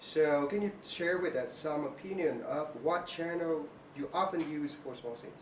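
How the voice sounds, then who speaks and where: A man's voice: a little high, normal speed, distant mic, background noise. A man asking interview questions in a plain, slightly stiff way. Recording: roomy, off-mic voice.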